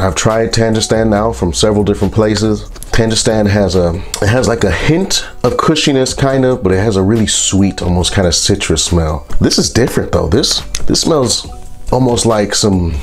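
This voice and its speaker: A man with a congested, stuffy voice from a sinus infection, reviewing products in a relaxed, rambling vlog. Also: on the low side. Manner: relaxed, rambling, with frequent fillers.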